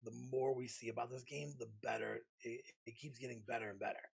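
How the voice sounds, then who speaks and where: A man's voice: medium pitch, steady tone, quick pace, vocal fry, breathy edge. A man co-hosting a podcast, chatting informally and giving his opinions on games. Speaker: podcast co-host. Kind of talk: casual chat about games.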